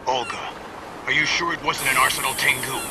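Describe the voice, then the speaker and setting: An actor playing a guarded, commanding villain officer in a video game. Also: male voice, mid-range voice, fairly even intonation, brisk, background noise, lightly acted.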